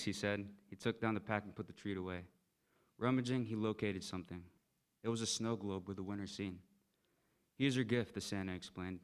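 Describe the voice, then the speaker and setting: A man's voice: fairly low pitch, clear, resonant tone. A young man, a student, reading a narrative poem aloud from a text. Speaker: student reader.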